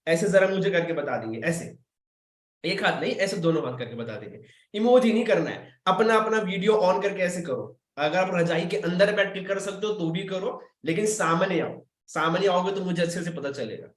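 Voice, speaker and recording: A young man from Chhattisgarh hosts an online webinar in a chatty, teasing way and talks straight to his viewers. His voice sits high for a man. The sound comes from a video call and is echoey, as if he is in a bare room. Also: lively intonation, normal speed. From Chhattisgarh, India. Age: young adult. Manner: chatty, playful, addresses viewers directly. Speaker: webinar host. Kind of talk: casual online webinar talk.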